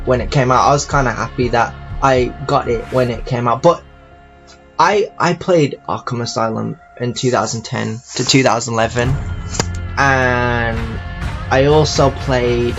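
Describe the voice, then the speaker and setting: A man giving casual, rambling game commentary like an audio log, halting and often circling back to repeat his phrases. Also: mid-range voice, gravelly. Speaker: YouTuber reviewing games.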